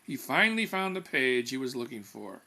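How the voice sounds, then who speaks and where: A man reading his own comic fiction aloud as an author, with animated, emphatic storytelling. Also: medium pitch, normal speed. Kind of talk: expressive scripted narration of comic fiction.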